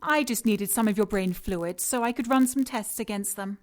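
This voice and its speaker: An actress playing a cold, seductive scientist in an audio drama, speaking with clinical precision and teasing condescension. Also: female voice, medium pitch, normal speed, close to an everyday voice. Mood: dismissive, lecturing. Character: cold, mocking, seductive female scientist in charge of experiments. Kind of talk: audio drama acting, sci-fi noir.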